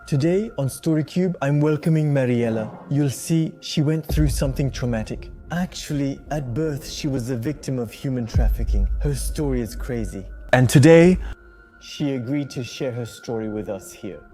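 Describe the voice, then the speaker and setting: Mellow-voiced male interview host. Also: mid-range voice, moderate pace, soft background music. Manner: inquisitive, leading questions.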